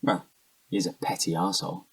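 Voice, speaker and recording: Husky, defensive cheating husband. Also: male voice, deep voice, level tone, fairly quick, dry recording, distant mic, poor audio. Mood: indignant defensive. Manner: defensive, protesting, stammering when cornered. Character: defensive, evasive cheating husband under questioning. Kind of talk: naturalistic audio drama dialogue.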